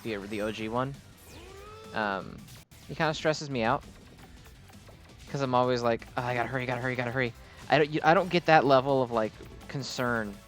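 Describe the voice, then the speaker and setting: A man's voice: mid-range voice, fairly bright tone. A man giving casual gameplay commentary in a rambling, easily distracted way. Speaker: gaming video host. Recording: game music behind the voice. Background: game music.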